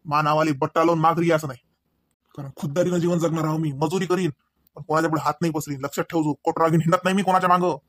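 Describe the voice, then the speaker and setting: Guy doing angry proud cartoon village husband, varhadi marathi accent, high voice, talks fast, scolds. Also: steady tone, a bit bright, no room sound, clean studio sound. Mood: firm, proud. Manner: scolding, firing off rhetorical questions. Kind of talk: amateur comedy cartoon dialogue.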